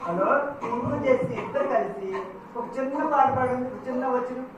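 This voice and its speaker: A woman's voice: high voice, moderate pace, lo-fi sound. A woman hosting a children's Sunday school puppet show, instructing with questions and prompts. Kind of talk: moral teaching to children. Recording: echoey hall, distant mic, clear noise.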